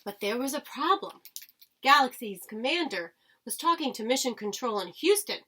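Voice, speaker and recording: A woman's voice: a little high, expressive, normal speed, sharp tone, husky. A woman reading a children's storybook aloud like a teacher, expressively, with dramatic emphasis. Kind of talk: expressive children's storybook read-aloud with short asides to listeners.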